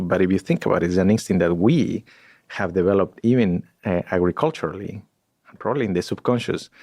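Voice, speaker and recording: A man's voice: low-pitched, creaky, dry recording, clean studio sound. A man, a guest in a podcast interview, talking in a reflective way and searching for words. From Ecuador. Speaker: guest scientist.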